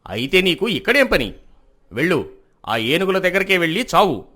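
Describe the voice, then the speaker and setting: A man's voice: sits high, sing-song, moderate pace, pushed and bright, exaggerated voice. A man playing a threatening, scheming royal villain with a commanding, menacing manner. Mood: hostile, suspicious.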